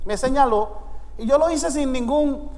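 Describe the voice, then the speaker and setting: A man's voice: very high voice, moderate pace, a little mellow. A man in his fifties with a Puerto Rican Spanish accent, preaching a sermon and calling out to his congregation. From United States. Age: 50s. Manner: preaching with call-and-response to the congregation. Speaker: pastor. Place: church sanctuary. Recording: long reverb of a large church hall.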